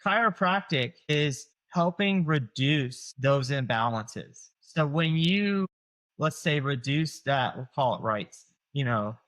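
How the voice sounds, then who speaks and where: A chiropractor in his forties with a Southern US accent, speaking at an ordinary middle pitch. He explains things conversationally, dropping "like" and "you know" as he goes and slipping into patients' voices as quotes. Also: animated, takes it slowly, a bit bright. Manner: explains with casual fillers, acts out patients' quotes. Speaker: chiropractor guest.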